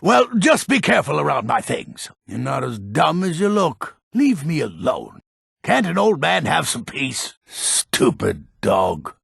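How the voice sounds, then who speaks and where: An actor playing a grumpy, pessimistic old male alchemist and shopkeeper in a fantasy video game, curt and exasperated, with a gravelly rasp. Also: fairly high pitch, huge pitch swings, a little slow, fairly bright tone, no echo, studio quality, heavily acted. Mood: irritated. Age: older. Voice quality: gravelly, rasping.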